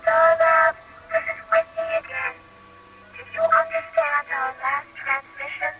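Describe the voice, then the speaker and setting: An extremely high-pitched, frightened alien physician from a radio space drama. The voice swoops widely as it pleads in formal, urgent phrases, with clear music underneath. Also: very animated, a little slow, full character voice, poor audio. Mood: urgent pleading.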